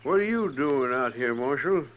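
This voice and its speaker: An actor playing a bitter, domineering American frontier father in a 1950s radio western, gruff and blunt. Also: medium pitch, expressive, normal speed, close to an everyday voice. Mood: gruff, suspicious. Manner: gruff, blunt, folksy drawled grammar. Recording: dull old radio recording.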